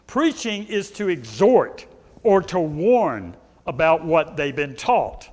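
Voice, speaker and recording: Middle-aged male pastor, loud carrying voice, high and lively delivery, long church reverb. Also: takes it slowly. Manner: animated preaching, pacing and gesturing, rhetorical questions. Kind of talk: sermon. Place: church sanctuary, indoors.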